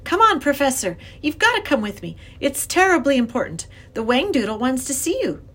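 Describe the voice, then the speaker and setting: A woman voicing an earnest, excitable young girl who pleads and insists, in an acted children's storybook reading. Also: high voice, fairly quick, voice pushed up, full character voice. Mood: urgent.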